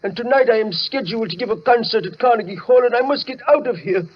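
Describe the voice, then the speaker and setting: A man's voice: very high-pitched, quick pace. A man playing a frantic South American pianist held in an asylum, dramatic and pleading, in old-time radio thriller style. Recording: muffled vintage radio recording.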